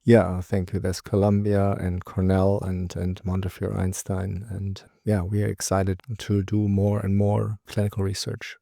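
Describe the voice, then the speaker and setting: A middle-aged man with a German accent, a department chair answering interview questions haltingly, with many fillers. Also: deep voice, monotone, vocal fry, dark tone, no echo, studio quality.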